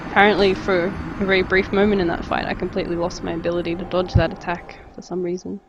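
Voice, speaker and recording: A man's voice: sits high, monotone, quick pace, muffled recording, noisy background. A man with a general Australian accent, giving casual live-stream commentary while playing and reading chat aloud with wry, dry remarks. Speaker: game streamer. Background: game music.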